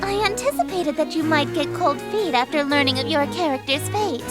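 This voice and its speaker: A woman's voice: high-pitched, normal speed, over loud music, natural voice. A woman voicing a haughty, theatrical eldritch goddess girl in a game, coy and menacing with pompous, archaic flourishes. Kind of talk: scripted visual novel voice acting.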